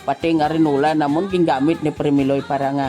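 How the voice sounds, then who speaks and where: A man's voice: a little high, level tone, brisk, warm tone. A man with a Western Visayan Filipino accent, co-hosting a radio show with chatty, joking banter. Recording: music behind the voice. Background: music.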